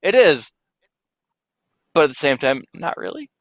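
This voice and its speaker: A young adult man in casual group conversation, speaking in halting, hesitant asides. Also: fairly high pitch, sing-song, a bit bright, no room sound, clean studio sound.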